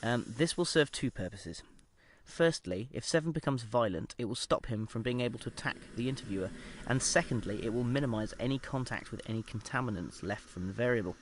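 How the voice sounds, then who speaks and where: An actor playing a measured research scientist who dictates an experiment log in a formal, clinical manner, with hesitant pauses, in an audio drama. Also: male voice, mid-range voice, slight background noise, close to an everyday voice. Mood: measured, uneasy.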